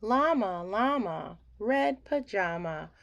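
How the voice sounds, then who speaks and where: A woman reading a children's bedtime story aloud in an expressive, theatrical way that acts out the emotions in the words. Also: medium pitch, takes it slowly, creaky, a little hiss or hum.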